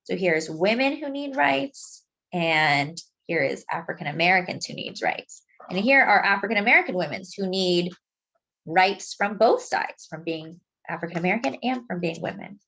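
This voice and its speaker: A woman reads a picture book aloud expressively, explaining things simply and deliberately as if to young children. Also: on the low side, expressive, gravelly, a bit bright, lo-fi sound. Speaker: children's storybook reader.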